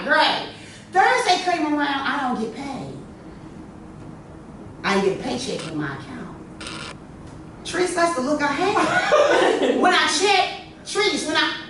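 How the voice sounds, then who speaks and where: A woman hosting a workshop, telling stories to a small group in a lively, chatty way, with a lot of "you know.". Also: high-pitched, distant mic. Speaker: workshop host. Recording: echoey room, from afar, noisy.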